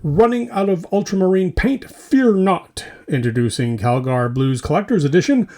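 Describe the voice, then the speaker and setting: A man's voice: sits high, animated, moderate pace, a little mellow. A man hosting a scripted, tongue-in-cheek news roundup in short, punchy phrases. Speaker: host of a tabletop wargaming hobby news podcast. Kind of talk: scripted comedic news roundup with mock commercials.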